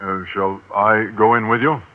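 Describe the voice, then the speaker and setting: A man's voice: fairly low pitch, fairly even intonation, normal speed, close to an everyday voice. An American actor playing a bashful, earnest man who teaches biology, speaking hesitantly in a 1950s radio sitcom. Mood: earnest, aggrieved. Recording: dull, hissy old radio broadcast.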